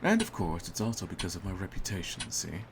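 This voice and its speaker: A cold, smug hitman, acted by a man for an audio roleplay. His voice is extremely deep with a gravelly creak, and he phrases things slowly and theatrically, full of menace. Clear noise runs behind the recording. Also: very animated. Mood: cold, composed menace. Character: cold, smug male hitman holding his target at gunpoint. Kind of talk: scripted ASMR audio roleplay.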